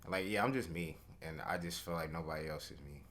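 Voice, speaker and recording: A young man being interviewed as a recording artist, talking in a relaxed, slangy way and thinking aloud with fillers. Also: rumbling low, a little fast. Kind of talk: casual interview chat.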